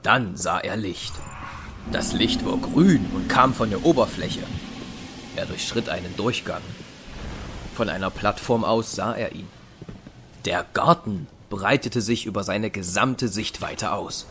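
A man's voice: lowish voice, measured pace, fairly bright tone, a little hiss or hum. A man narrating a scripted sci-fi audio drama as its storyteller. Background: music.

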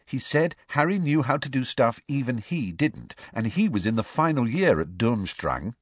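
A man voicing a clever, bossy teenage schoolgirl in an audiobook, with an earnest, coaxing manner and a husky edge. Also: mid-range voice, big pitch swings, moderate pace, dry recording, clean studio sound, pitched above the performer's own voice. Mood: persuasive.

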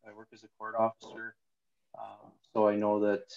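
A man's voice: lowish voice, monotone, normal speed, vocal fry. Hesitant man in his forties, dull recording. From Ontario, Canada. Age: 40s. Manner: hesitant, many fillers. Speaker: guest, jiu-jitsu student. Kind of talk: casual interview.

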